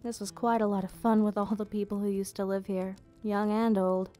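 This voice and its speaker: A woman playing a gentle, nostalgic vampire hostess in an ASMR roleplay, speaking softly in slightly formal, unhurried explanations. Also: mid-range voice, flat delivery, natural voice. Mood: gentle, explaining. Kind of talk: ASMR roleplay, soft storytelling. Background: background music.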